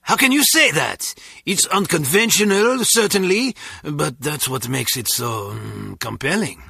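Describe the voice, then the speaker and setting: A man's voice: on the high side, expressive, unhurried, creaky, pushed and bright, husky, dry recording, studio quality, full character voice. A man playing a pretentious, earnest beatnik music fan in a video game, talking in a pompous, affected way. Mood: pompous, offended.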